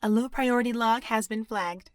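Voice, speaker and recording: A polite female computer assistant in a sci-fi audio drama , voiced by a woman. The voice sits on the high side and is recorded dry. Every phrase is formal and measured. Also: dry recording, natural voice.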